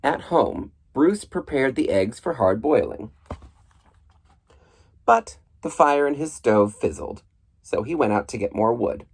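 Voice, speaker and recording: A man reading a children's book aloud in an expressive, playful storybook style, with a husky voice. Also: mid-range voice, a little slow. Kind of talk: animated storybook read-aloud.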